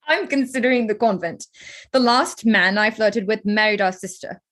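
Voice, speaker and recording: An actress reads a witty young gentlewoman in a staged period drama. The pitch sits in the middle, and the delivery is wry, measured and teasing. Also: natural voice. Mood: playful.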